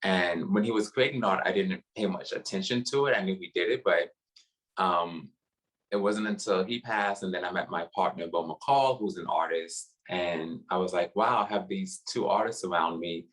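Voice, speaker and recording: A man from New City, a guest curator talking casually on a video call, relaxed with frequent pauses. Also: low-pitched, rough recording. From New York City. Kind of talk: casual interview conversation. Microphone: video call webcam.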